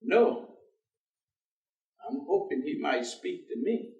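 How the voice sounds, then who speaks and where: Animated, high-pitched folksy man. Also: slow pace, vocal fry, muffled recording, full character voice. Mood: earnest, pleading. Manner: folksy, colloquial, persistent. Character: earnest, folksy working man pleading with a despairing professor. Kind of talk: staged dramatic reading. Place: theatre stage. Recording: echoey theatre, distant microphone.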